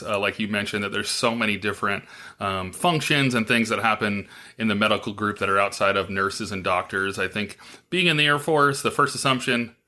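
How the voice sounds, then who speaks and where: A man hosting a podcast, his voice sitting low yet carrying a bright, forceful edge. He is upbeat and friendly, with a loose habit of saying "uh" as he moves between questions. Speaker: podcast host. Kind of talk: casual interview.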